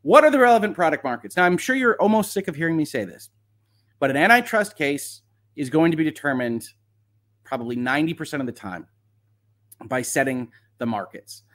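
A man's voice: fairly high pitch, lively intonation, fairly quick, a bit bright. A man hosting a livestream of legal commentary, talking casually and reading aloud with wry, sardonic asides.

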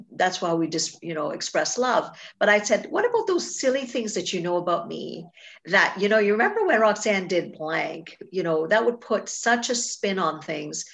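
Female podcast host from Trinidad and Tobago, low-ish gravelly voice, chatty interviewer. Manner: chatty, asks follow-up questions. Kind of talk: casual interview chat.

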